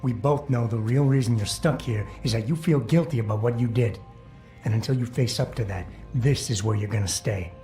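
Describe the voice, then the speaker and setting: A man's voice: medium pitch, fairly quick, dark tone, faint music behind, lightly acted. A man voicing a grim, blunt male augmented ex-cop agent in a game, with a curt, cold manner.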